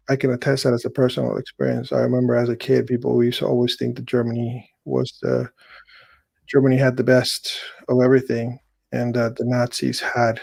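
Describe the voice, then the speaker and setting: A man's voice: mid-range voice, creaky, darkish tone, no room sound. A middle-aged man from Chile, hosting a show, who reads an article aloud and then comments casually with many fillers and restarts. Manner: hesitant, with frequent fillers and restarts. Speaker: host.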